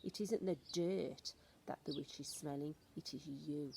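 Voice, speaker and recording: A woman's voice: low voice, measured pace, creaky. A woman voicing a stern, knowing old grandmother who instructs emphatically and ominously in a storybook reading, with some noise on the recording. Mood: relishing, instructive.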